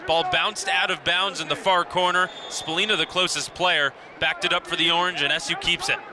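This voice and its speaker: High-pitched young man calling sports. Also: brisk. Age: 20s. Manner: clipped descriptive phrasing, excited on big plays. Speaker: play-by-play commentator. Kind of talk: live radio sports commentary. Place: outdoor lacrosse stadium. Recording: noisy outdoor broadcast with stadium ambience.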